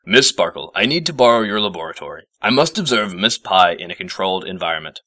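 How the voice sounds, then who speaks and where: A man playing a gruff, pompous older male professor who speaks formally and gravely. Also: deep voice, big pitch swings, fast, pushed and bright, no room sound, clean studio sound, exaggerated voice. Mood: imperious.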